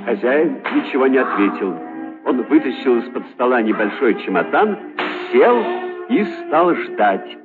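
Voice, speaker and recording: A man narrating a children's story in an expressive, scripted storytelling style. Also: mid-range voice, measured pace, phone-quality sound, music in the background.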